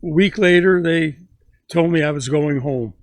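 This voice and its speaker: Brief: elderly man, 90s, slightly high voice, very slow, halting delivery, dry close sound. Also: no echo. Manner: slow, halting, repeats words as he starts sentences. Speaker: grandfather telling stories. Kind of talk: casual storytelling interview.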